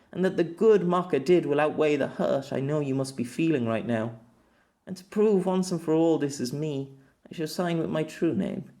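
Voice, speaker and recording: Figure: grieving empress, solemn and tender, read by a man. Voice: fairly high for a man, audiobook storytelling. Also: creaky, warm tone. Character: grieving empress writing a farewell letter.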